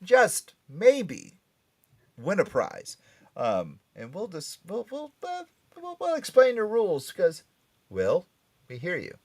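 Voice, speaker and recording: Animated man hosting a casual radio show. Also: high-pitched, slow pace. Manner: animated, playful, teasing. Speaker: host of a pop culture radio show and podcast. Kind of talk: casual radio show banter and event promotion.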